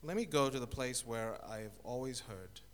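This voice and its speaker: A middle-aged man from Zimbabwe, a guest telling his life story in an interview, with thoughtful, halting speech and many restarts. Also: medium pitch. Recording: echoey hall with faint noise.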